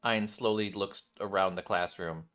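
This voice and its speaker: A young man doing casual game commentary, reading on-screen text aloud and thinking out loud. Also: deep voice, fairly even intonation, normal speed. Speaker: gaming streamer.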